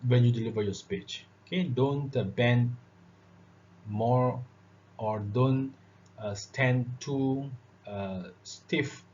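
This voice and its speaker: A man gives a public speaking lesson, his phrasing broken up by "uh" and a steady "all right". His voice sits at an ordinary middle pitch. The recording is dull and lo-fi, with a faint hiss underneath. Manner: filler-heavy, repeats "all right" and "okay". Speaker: lecturer. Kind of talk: classroom lecture on public speaking.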